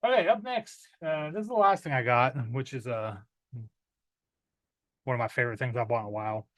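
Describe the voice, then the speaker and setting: Male in his thirties, average pitch, casual rambling record-haul talker, recorded dry and close. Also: a little fast, fairly bright tone, no room sound. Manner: rambling with many "uh" fillers, clears throat often. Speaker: metal music vlogger. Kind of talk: casual unboxing chat.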